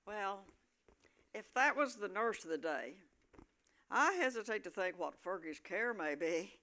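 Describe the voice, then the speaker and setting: An older woman narrating a staged play from a script, reading slightly haltingly. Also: medium pitch, animated, sharp tone.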